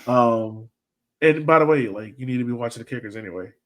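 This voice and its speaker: Man, medium pitch, sports podcast host, informal, rambling, thinking aloud, casual fan analysis. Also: fairly quick, a bit bright.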